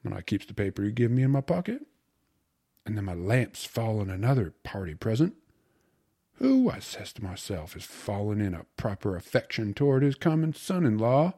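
A man's voice: lowish voice, very animated, moderate pace, dark tone. A man voicing a cheerful, cocky young suitor who talks in eager, colloquial slang for an audiobook. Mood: gleeful, boastful. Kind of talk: audiobook character voice.

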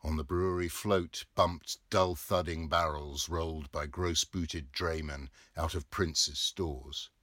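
A man in his fifties narrating a literary novel with measured, deliberate phrasing. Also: rumbling low, steady tone, a bit bright, no echo, studio quality. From England. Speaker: audiobook reader.

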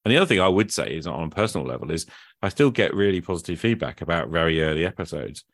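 A man with a deep voice talks casually as a podcast host. He rambles informally and thinks aloud, and the sound is dry and close, with very little room around it. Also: animated, fast, no room sound. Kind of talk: casual conversational interview.

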